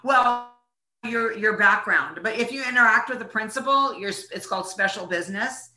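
Bright-voiced woman in a roomy space. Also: a little high, normal speed, soft background music. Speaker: actress, interview guest. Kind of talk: casual conversational interview. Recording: roomy, echoing sound.

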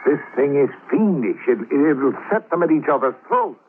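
Actor as earnest, agitated lawyer, swinging pitch. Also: male voice, lively intonation, normal speed, phone-quality sound, lightly acted. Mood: protesting. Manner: urgent, protesting, stammering. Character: earnest, appalled male family lawyer. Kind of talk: 1940s radio drama acting. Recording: noisy, band-limited old radio recording.

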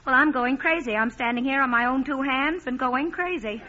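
An actress playing a spirited, haughty society bride in old-time radio drama, with theatrical, imperious delivery. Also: female voice, high voice, brisk, bright, forceful tone, a faint crowd. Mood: bewildered, exasperated. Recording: muffled, noisy vintage radio broadcast recording.

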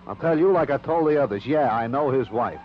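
A sarcastic, defiant projectionist played by a man in a vintage radio crime drama. His mid-pitched voice swings widely as he sneers and mocks. The old recording is muffled. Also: animated, fairly quick, slight background noise, close to an everyday voice. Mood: insolent. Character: surly, sarcastic, insolent male movie projectionist.

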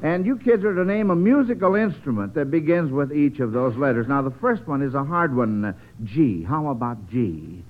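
A man's voice: medium pitch, lively intonation, moderate pace, few pauses. An American man hosting a vintage radio quiz for children in a chatty, avuncular way. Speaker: quiz show host. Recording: tinny vintage radio broadcast.

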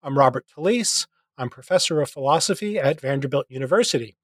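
A man's voice: medium pitch, quick pace, dry recording. Give me a man hosting an interview podcast, dry close recording. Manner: scripted intro, then relaxed chat. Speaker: podcast host. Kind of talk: interview host.

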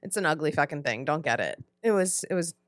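A young woman from the Philadelphia area co-hosting a comedy podcast, animated and teasing, quick to laugh. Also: low-pitched, no echo, studio quality. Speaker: podcast co-host. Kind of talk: casual comedy podcast chat.

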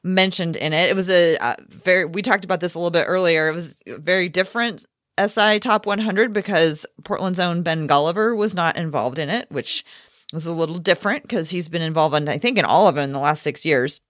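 A woman's voice: lowish voice, brisk, fairly bright tone, no room sound, clean studio sound. A middle-aged woman hosting a sports podcast, chatty and conversational, thinking aloud as she goes. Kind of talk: casual sports chat.

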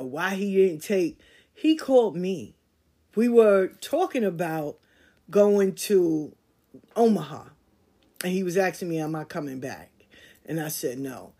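A woman hosting a podcast, speaking in in a candid storytelling way that swings from loud emphasis to quiet asides. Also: on the low side, breathy edge. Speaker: podcast host. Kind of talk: casual monologue.

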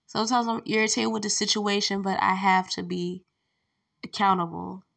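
A young woman hosts her podcast from home, talking loosely and candidly through fillers and laughs. Her voice sits at a middle pitch with a gravelly edge, and she sounds stuffy, congested from allergies while lying down. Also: steady tone, normal speed, a bit bright. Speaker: podcast host. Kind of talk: casual solo podcast chat.

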